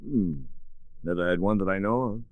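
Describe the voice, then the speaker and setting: A boozy flier with a fairly deep voice, played by a man in vintage radio drama. He talks in an easy, slangy way, and the sound is dry. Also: normal speed, dry recording, phone-quality sound, natural voice. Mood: offhand. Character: casual, boozy American male pilot. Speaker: pilot. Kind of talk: 1940s radio drama acting.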